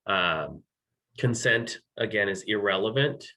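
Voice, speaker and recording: A man with an American accent presenting a legal training, slightly hoarse and losing his voice, talking haltingly with many ums and self-corrections. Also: fairly low pitch, lively intonation, sharp tone. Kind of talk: slide-based legal training.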